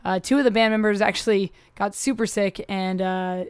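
A woman hosting a podcast in a casual, chatty way, her voice a little hoarse from a cough. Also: medium pitch, fairly even intonation, moderate pace, no room sound, studio quality. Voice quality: upbeat, chatty. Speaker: podcast host.

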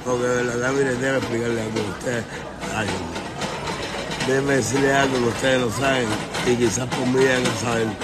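A man's voice: mid-range voice, level tone, normal speed, over loud music. A man from Cuba with a Cuban Spanish accent, ranting casually to his viewers, joking and swearing, often breaking into laughter. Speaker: vlogger.

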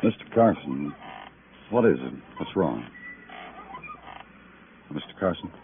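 An actor playing a brooding police detective, narrating a 1950s radio noir drama in solemn, clipped poetic phrases. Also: male voice, rumbling low, very animated, slow pace, a little hiss or hum, exaggerated voice. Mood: quiet, probing. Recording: muffled vintage radio recording with music.

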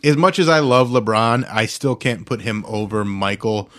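A man's voice: on the low side, normal speed, a bit bright. A man hosting a podcast in casual chat, talking informally like an enthusiastic sports fan. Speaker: podcast host.

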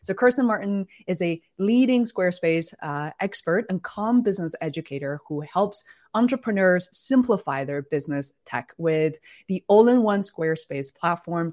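A woman's voice: lowish voice, darkish tone. Eager woman host of a live chat. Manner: enthusiastic, halting with fillers. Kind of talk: live interview hosting.

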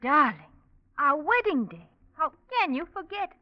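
An actress in an old-time radio drama playing a sweet, attentive young bride. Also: female voice, high-pitched, big pitch swings, moderate pace. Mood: affectionate. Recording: dull old radio recording.

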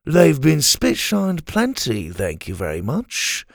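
Grumpy old guy done by a male narrator, stubborn n sarcastic, storybook style, pitched up and swoopy. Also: wildly swooping pitch, slow, vocal fry, no echo, clean studio sound, heavily acted. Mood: grumpy, defiant. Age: older. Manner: grumpy, sardonic, emphatic.

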